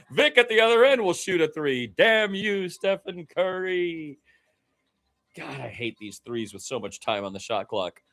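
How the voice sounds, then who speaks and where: A man doing live radio play-by-play of a basketball game, informal and opinionated, swinging between low-key asides and excited calls. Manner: informal, opinionated, mildly profane.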